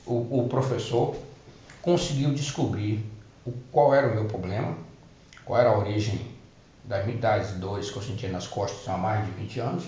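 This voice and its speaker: Middle-aged male speaker, mid pitch, halting delivery with frequent restarts; echoey room, dull lo-fi recording. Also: dark tone. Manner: hesitant, repeats and restarts words. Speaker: spiritual healing speaker. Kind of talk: personal testimony talk.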